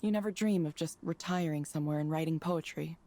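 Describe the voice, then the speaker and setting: Low, mellow, skeptical woman researcher. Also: fairly quick, a little hiss or hum. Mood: weary, challenging. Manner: questioning, restrained, direct. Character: guarded, skeptical female tech researcher. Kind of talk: visual novel voice acting.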